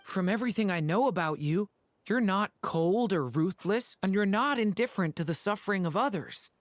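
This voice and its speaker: A woman voicing an earnest, gentle young boy in a video game, polite and hesitant. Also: mid-range voice, lively intonation, normal speed, dry recording, lightly acted. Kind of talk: video game character acting.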